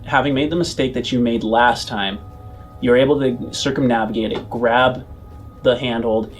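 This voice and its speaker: A man running a tabletop role-playing game as game master, narrating and explaining in a conversational way. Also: medium pitch.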